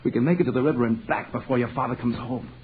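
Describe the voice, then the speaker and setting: An actor voicing a breezy, wisecracking young soldier just home from the war, in a tinny, hissy 1940s radio drama. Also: male voice, medium pitch, racing, natural voice. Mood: coaxing. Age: young adult.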